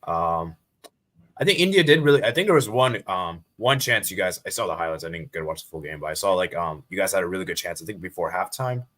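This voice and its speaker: A man's voice: low voice, lively intonation, quick pace, a bit bright. A man from Bangladesh giving hedged but firm opinions in a casual football discussion. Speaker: football podcast guest.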